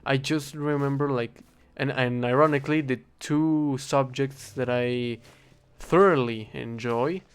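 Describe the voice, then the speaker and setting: A young man with a Spanish accent, a native Spanish speaker, rambling in a casual, halting monologue while he plays a game and keeps correcting himself. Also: medium pitch, fairly even intonation, clean tone. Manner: halting, self-correcting, thinking aloud. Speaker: vlogger.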